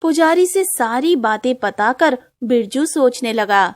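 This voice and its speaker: A woman reads a scripted animated story as its narrator. Her voice is a little higher than most women's. She tells the tale in storyteller fashion. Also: measured pace, dry recording, clean studio sound.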